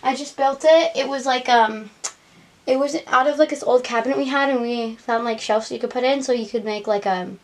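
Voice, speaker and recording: Young American girl, higher-pitched, chatty. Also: normal speed. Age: young, child or teenager. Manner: chatty, informal, frequent "like". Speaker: doll vlogger giving a house tour. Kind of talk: casual home vlog narration. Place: indoor room at home, behind the camera.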